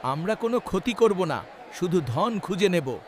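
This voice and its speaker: Guy playing an earnest cartoon hero, high lively voice, polite, music underneath. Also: moderate pace, slight background noise. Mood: respectful pleading. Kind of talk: children's cartoon acting.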